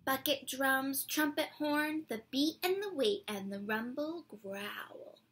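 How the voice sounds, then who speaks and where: A woman in her twenties reading a picture book aloud to children, with playful, deliberate, dramatic phrasing, recorded at home in a small room. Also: high voice, a bit bright. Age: 20s. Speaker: children's read-aloud presenter.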